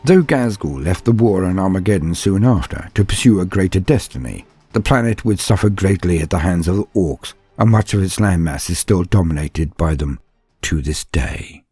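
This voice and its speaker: A man with a husky voice giving dramatic scripted storytelling narration in a measured, theatrical delivery. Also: sits low, lively intonation, dark tone, no room sound. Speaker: narrator of fan lore and fiction videos.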